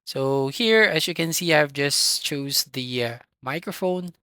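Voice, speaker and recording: A tutorial host giving step-by-step software instructions in an instructional manner, with a voice recorded close to the microphone. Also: mid-range voice, animated, a little slow, clear, resonant tone, no echo.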